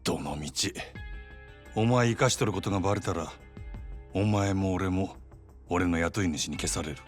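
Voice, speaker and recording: An actor playing a gruff, brusque yakuza man with a Kansai accent in a Japanese video game drama. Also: deep voice, quick pace, soft background music, close to an everyday voice. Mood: grim warning. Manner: brusque, grumbling.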